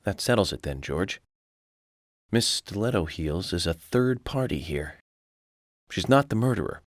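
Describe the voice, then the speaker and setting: An actor playing a self-assured, coolly analytical male federal investigator in a video game, measured and matter-of-fact as he lectures. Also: low voice, lively intonation, no room sound, clean studio sound. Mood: explanatory. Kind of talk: video game cutscene acting.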